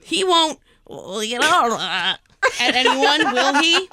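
A woman's voice: high-pitched, lively intonation, slow pace. A woman in her thirties in casual podcast banter, playful and often laughing through her words as she reads out silly lines. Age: 30s. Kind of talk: casual banter, reading a silly story aloud.

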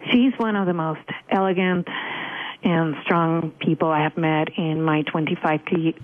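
A woman's voice: sits low, fairly even intonation, measured pace. A middle-aged Cuban American woman with a Cuban accent, answering interview questions earnestly with frequent fillers. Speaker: religious freedom advocate guest. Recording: tinny phone line.

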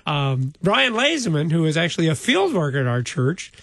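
An older man with a fairly high voice and a gravelly edge, recorded dry and close with little room around him. He is a pastor talking through a Bible passage in an easy, conversational way, often breaking into chuckles. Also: sing-song, normal speed, a bit bright, no echo. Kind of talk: casual Bible discussion.

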